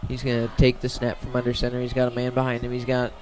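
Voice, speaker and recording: A man's voice: medium pitch, monotone, fast, noisy background. A man giving radio-style play-by-play commentary on a football game.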